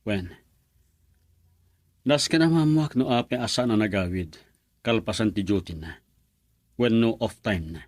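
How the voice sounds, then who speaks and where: An actor playing a pensive young man in a radio drama, speaking in a low-key, thoughtful way. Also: unhurried, pauses often, darkish tone, dry recording, studio quality, natural voice.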